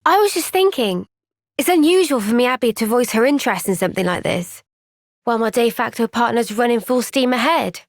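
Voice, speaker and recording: A woman with a British accent voicing an earnest, gentle, thoughtful young woman soldier in a video game cutscene. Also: a little high, lively intonation, fairly quick, no echo, studio quality. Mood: calm, serious.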